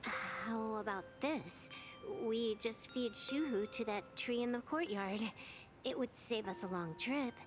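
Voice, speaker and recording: An actress voicing a sharp-tongued young woman in a video game, proud and wry yet guarded about her soft heart. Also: fairly high pitch, slow pace, low-quality recording. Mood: dry, wry. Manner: wry, teasing, emotionally guarded. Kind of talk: scripted video game voice acting.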